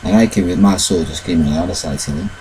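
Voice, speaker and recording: A man telling a long, rambling personal story in halting English, with many fillers and loose grammar. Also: deep voice, dark tone, a little hiss or hum, rough recording. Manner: retells events with shifts into quoted dialogue.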